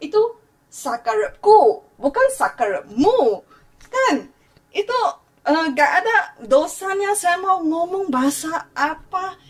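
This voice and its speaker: A woman's voice: extremely high pitch, big pitch swings, slow pace. A young woman from Malaysia with a Malaysian accent, giving earnest vlog commentary and switching between languages as she talks. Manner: earnest, code-switching, often restarts words. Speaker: YouTuber.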